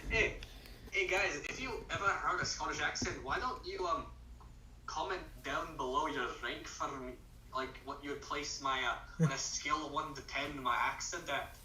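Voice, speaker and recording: A young man in casual, halting chat as a guest on a friend's voice-call live stream. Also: fairly high pitch, pushed and bright, slight background noise. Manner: rambling, halting, restarts phrases. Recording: echoey room, far from the mic.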